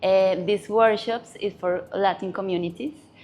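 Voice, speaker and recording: A woman's voice: mid-range voice, fairly even intonation, measured pace, darkish tone, soft background music. Hesitant woman. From Colombia. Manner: hesitant, fillers of "eh". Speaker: guest entrepreneur.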